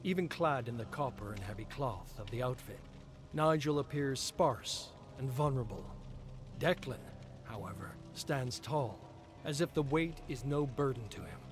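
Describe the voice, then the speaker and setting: A man giving a measured, expressive dramatic reading of game story text as a lore reader on a live stream. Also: mid-range voice. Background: clear background music.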